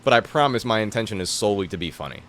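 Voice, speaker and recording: A man's voice: lowish voice, lively intonation, rapid-fire, a bit bright. A young man with a New Jersey accent, a stand-up comedian chatting casually on a podcast, laid-back and joking with plenty of swearing.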